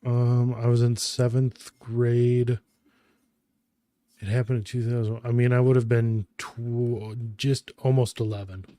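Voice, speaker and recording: A man in his thirties co-hosting a casual chat, recalling memories in a halting way and often correcting himself. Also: medium pitch, flat delivery, mellow. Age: 30s. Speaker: podcast co-host.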